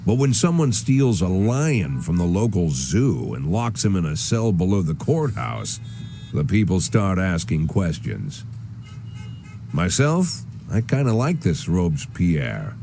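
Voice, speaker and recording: An actor playing a weary, cynical, aging American police chief gone corrupt, narrating a noir video game in a dry, sardonic manner. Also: male voice, low-pitched, sing-song, unhurried, gravelly, dark tone, faint music behind, exaggerated voice. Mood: wry, musing. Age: older.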